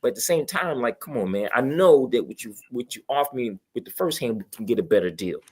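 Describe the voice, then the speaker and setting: A man's voice: medium pitch, lively intonation, quick pace, husky. A man chatting casually in a colloquial way, repeating phrases for emphasis. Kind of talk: casual chat.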